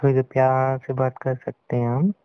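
A man's voice: medium pitch, level tone, moderate pace, clean tone, no room sound. A young man with an Indian accent bantering casually, mock-scolding in playful couple chat. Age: young adult. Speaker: boyfriend on a phone call. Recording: dull, dry lo-fi call recording.

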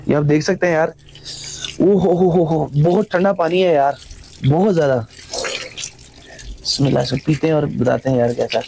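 A man's voice: a little high, steady tone, normal speed, vocal fry, long pauses, a little mellow, slight background noise, rough recording. A man vlogging his mountain trip, chatty and enthusiastic, talking to viewers on a trail outdoors. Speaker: travel vlogger. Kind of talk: casual travel vlog narration.

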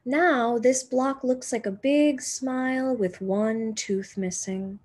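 A young woman, a teacher reading a children's picture book aloud expressively, with deliberate dramatic pauses and emphasis. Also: a little high, steady tone, a little mellow. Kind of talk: expressive children's storybook narration.

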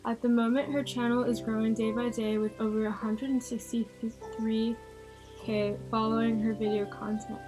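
Female narrator for scripted biography. Even, little-varying pitch, a bit high, over music. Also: normal speed.

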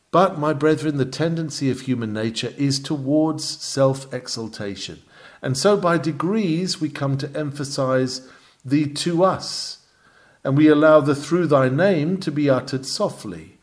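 Male sermon reader, medium pitch, very varied intonation, formal and emphatic preaching style. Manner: measured, formal, emphatic oratory. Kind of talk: read-aloud sermon, preaching delivery.

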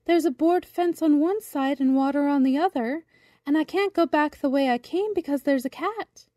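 A woman voicing a tiny, timid, excitable young storybook creature with an earnest, exclaiming way of talking. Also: high voice, moderate pace, no room sound, clean studio sound, voice pushed up, natural voice. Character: tiny timid fairy-tale bird-child, eager and excitable.

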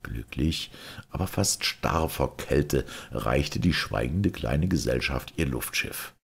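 Male narrator for vintage sci-fi audiobook: gravelly, lively intonation, dramatic storytelling. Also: a bass voice, slow. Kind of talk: scripted narration of an old science-fiction novel.

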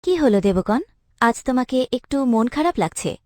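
A gentle female bird queen from a cartoon tale , voiced by a woman, speaking tenderly and with concern. The voice is fairly high and recorded dry and close. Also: expressive, normal speed, dry recording, natural voice. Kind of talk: dramatized cartoon story dialogue.

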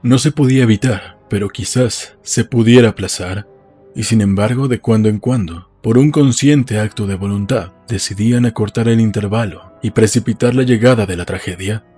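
A man's voice: lowish voice, moderate pace, warm tone, breathy edge. A man narrating a novel as a scripted literary audiobook. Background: faint music.

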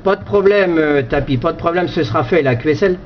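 A man's voice: on the high side, a little fast. A man chatting casually over amateur radio, hesitant and often repeating his words. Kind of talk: casual two-way radio chat. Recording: dull lo-fi audio with slight noise.

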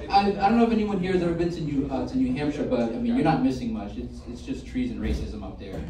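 A man from the Bronx does stand-up in an echoey club, and his voice swings wildly up and down. It sits a little high, and the mic is well off, with a loud laughing crowd all around. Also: normal speed, far from the mic. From the Bronx, New York. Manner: loud, animated, crude joking with the crowd. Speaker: stand-up comedian. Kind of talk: stand-up comedy set. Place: comedy club stage before a laughing crowd.

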